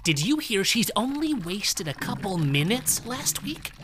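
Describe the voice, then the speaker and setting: Gossipy office coworker for a short story , played by a man, scandalized delivery, higher-pitched voice. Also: very animated, a little slow, noisy background.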